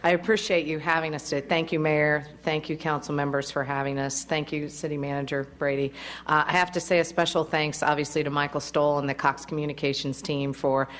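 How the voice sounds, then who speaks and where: A woman's voice: low-pitched, level tone, a little fast, slight background noise. A woman representing a broadcast network, giving friendly public remarks at a council meeting through a podium microphone and laughing easily. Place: council chamber.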